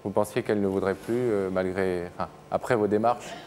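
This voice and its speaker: A man hosting a television show, gently guiding guests with frequent hesitations. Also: sits low, fairly even intonation, a little fast, long reverb tail, a little hiss or hum. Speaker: TV show host. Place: TV studio set.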